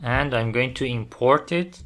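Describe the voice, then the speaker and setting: A man presenting a programming tutorial step by step, phrasing things deliberately in short, halting fragments. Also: mid-range voice, fairly bright tone. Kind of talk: step-by-step software tutorial narration.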